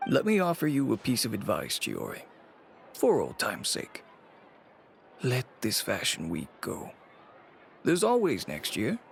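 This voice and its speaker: A man playing a world-weary, cautious middle-aged businessman and father in a video game, measured and resigned in manner. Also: fairly low pitch, wildly swooping pitch, gravelly, slight background noise, exaggerated voice. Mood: conciliatory.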